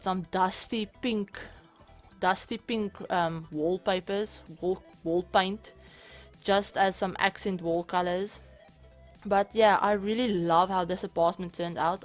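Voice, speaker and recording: A woman, a bit hoarse from being sick, talking casually and rambling over footage of a game build, often correcting herself mid-sentence. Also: on the low side. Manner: rambling, self-correcting, chatty. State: hoarse from illness. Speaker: channel host. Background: soft background music.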